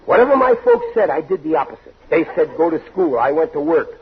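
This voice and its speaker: Blustering radio-comedy dad, muffled old recording. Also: male voice, fairly high pitch, sing-song, quick pace. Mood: cocksure. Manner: blustering, overconfident, stammering. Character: blundering, cocksure working-class family father. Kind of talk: 1940s radio comedy acting.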